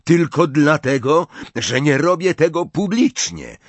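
A man voicing an indignant, protective teenage brother in a storybook, blunt, loud and blustering. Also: medium pitch, huge pitch swings, takes it slowly, vocal fry, breathy edge, no room sound, voice pushed up, heavily acted. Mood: furious. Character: hot-headed, indignant teenage boy, protective older brother.

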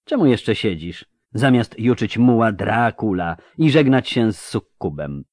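Man playing a curt, gruff monster hunter giving orders. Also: fairly low pitch, lively intonation, measured pace, darkish tone, no room sound, pitched above the performer's own voice. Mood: cold, dry. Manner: terse, dry, cold.

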